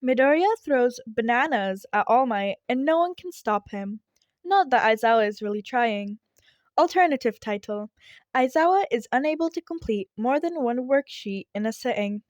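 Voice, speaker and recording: A woman's voice: on the high side, expressive, normal speed, no echo, clean studio sound. A woman reading fan fiction aloud as scripted narration.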